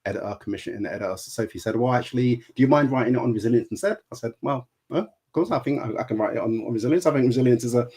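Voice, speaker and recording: A middle-aged therapist and life coach answering interview questions, speaking with a stutter that makes him repeat words and sounds. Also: male voice, medium pitch, very fast, few pauses, warm tone, husky.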